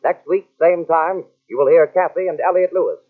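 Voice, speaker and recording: Man, vintage radio announcer, formal and measured, slightly high voice, tinny sound, music.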